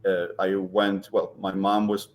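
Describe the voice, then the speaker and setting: A middle-aged man from Argentina with an Argentine Spanish accent, speaking as an interview guest and pausing often with ums and restarts. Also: sits low, flat delivery, slight background noise. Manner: hesitant, many ums and restarts. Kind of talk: casual interview talk.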